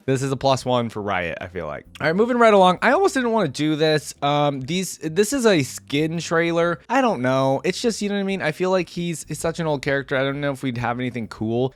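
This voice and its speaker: Casual male reaction host, mid-pitched. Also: animated, fairly quick, hardly any pauses, dry recording. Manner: informal, thinking aloud.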